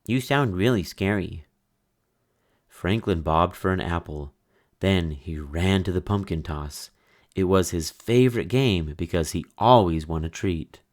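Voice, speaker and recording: A man reading a children's picture book aloud with slow, expressive storybook delivery. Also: deep voice. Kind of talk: read-aloud storybook narration.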